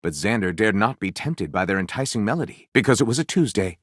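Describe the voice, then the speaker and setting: A man voicing a flamboyant, theatrical storyteller for a video game, moving between grandiose narration and gushing excitement. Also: lowish voice, fast, slightly rough, dry recording, clean studio sound. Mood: grandiose, dramatic. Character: flamboyant, theatrical storyteller co-writing lurid pulp novels.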